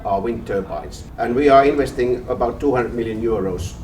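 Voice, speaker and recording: A man's voice: medium pitch, normal speed. Distant man stating energy targets. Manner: factual, with fillers. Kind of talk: documentary interview. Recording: distant mic with faint noise.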